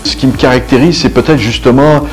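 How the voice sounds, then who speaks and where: A middle-aged man talking about himself in an interview, hesitating and pausing between short phrases. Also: medium pitch, fairly even intonation, poor audio. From Canada. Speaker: videographer, photographer and musician being interviewed. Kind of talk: interview self-portrait. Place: indoor photo studio. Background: background music.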